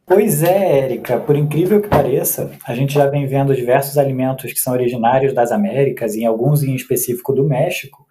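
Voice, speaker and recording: A man's voice: mid-range voice, brisk, few pauses, warm tone, a little hiss or hum. A man from Mexico, a researcher and cook, explaining food history in a teacherly way. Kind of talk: conversational explanation.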